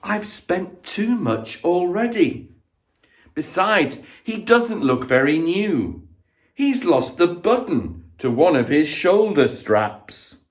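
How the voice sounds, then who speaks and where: A man voicing a weary mother in a storybook, sighing and gently firm with her child. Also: high voice, very animated, slow pace, voice pushed up, full character voice.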